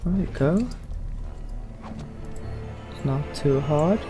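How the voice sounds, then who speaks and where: A man narrating his video game playthrough in role, low-key and unhurried, part commentary and part in-character lines. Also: lively intonation. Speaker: let's play gamer. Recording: game music behind the voice.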